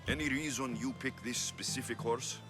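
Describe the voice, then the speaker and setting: A man's voice: mid-range voice, fairly even intonation, moderate pace, music in the background, close to an everyday voice. An actor playing a blunt male mercenary hero with a curt, dry manner.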